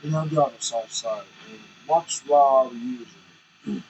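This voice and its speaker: A man's voice: medium pitch, moderate pace, darkish tone, a little hiss or hum, low-quality recording. A man vlogging candidly from the cab of his semi truck, rambling and self-conscious as he chats to viewers. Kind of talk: casual chat while driving.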